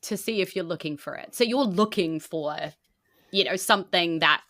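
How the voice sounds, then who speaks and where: A woman's voice: fairly low pitch, normal speed, a bit bright, no room sound, studio quality. A woman improvising vivid, informal narration as the game master of a tabletop game.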